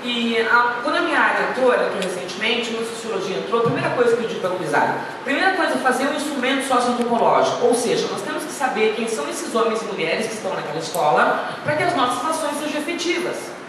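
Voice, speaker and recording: A woman's voice: fairly high pitch, very animated, quick pace, hardly any pauses. Gaúcha woman lecturing in an echoey hall. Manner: chatty, anecdotal, with self-deprecating asides. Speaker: guest speaker. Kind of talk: informal lecture. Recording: echoey hall, speaker far from the mic, noisy.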